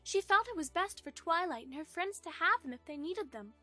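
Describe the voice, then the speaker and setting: A woman playing a gracious, earnest young pony princess, gentle and polite, in a fan audio drama. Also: very high voice, animated, brisk, faint music behind, heavily acted.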